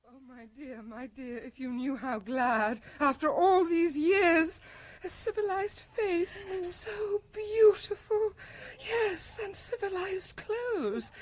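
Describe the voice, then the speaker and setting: A woman's voice: slow, few pauses. An actress playing a faded, garrulous woman exiled from a sterile future city, rambling tearfully in a radio drama. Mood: gushing, tearful. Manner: gushing, rambling, swings from whisper to shrill cry. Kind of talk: BBC radio drama acting.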